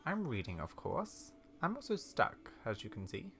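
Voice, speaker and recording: Performer doing little old grandmother, folksy, fussy, unflappable, quaint endearments, lively pitch, story game character. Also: moderate pace, a little hiss or hum. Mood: matter-of-fact.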